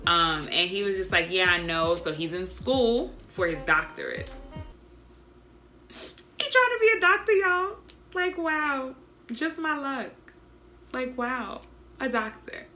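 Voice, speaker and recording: A young woman vlogger telling a dating story to her viewers in a casual, chatty way, speaking softly without projecting. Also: a little high, takes it slowly, long pauses. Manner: soft, doesn't project, monotone by her own account; chatty and animated, addresses viewers as y'all.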